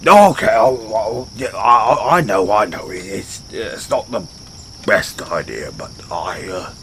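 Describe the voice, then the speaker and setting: Man playing a slow, halting orc barbarian. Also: fairly low pitch, animated, fairly bright tone, slight background noise, full character voice. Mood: flustered. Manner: halting, fumbling speech with sheepish stammers. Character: gruff, good-hearted male orc barbarian, bashful loyal brawler. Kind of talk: fantasy audio roleplay, intimate one-sided dialogue. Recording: outdoor night ambience with crickets behind.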